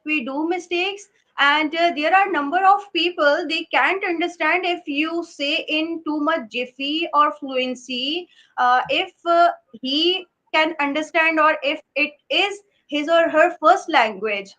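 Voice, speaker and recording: A woman's voice: high-pitched, a little slow, bright, forceful tone. A woman, hosting a live stream interview over a video call, talking hesitantly with many fillers. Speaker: host.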